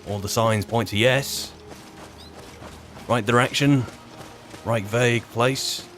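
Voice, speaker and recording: A man's voice: mid-range voice, steady tone, slow pace, fairly bright tone, soft background music. A man hosting a gaming video, improvising roleplay commentary in a wry, musing and rambling manner.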